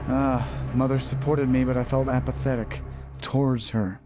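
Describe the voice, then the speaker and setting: A man's voice: mid-range voice, flat delivery, normal speed. A man narrating a horror visual novel as a let's play host, reading aloud and sometimes stumbling and rereading phrases. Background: game music.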